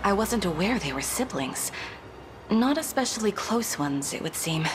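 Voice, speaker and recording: A woman's voice: medium pitch, big pitch swings, normal speed, over music, natural voice. A woman playing a composed, loyal companion to a prince in a fantasy video game, speaking formally. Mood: composed, explaining. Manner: formal, poised.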